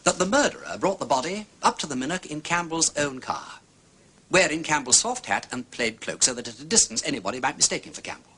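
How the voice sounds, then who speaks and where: An actor playing an eloquent, confident gentleman sleuth with an upper-class English accent, urbane and precise in a detective drama. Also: a little high, lively intonation, fairly quick, a little hiss or hum. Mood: confident explaining. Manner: urbane, articulate, emphatic explaining. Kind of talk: British detective drama acting.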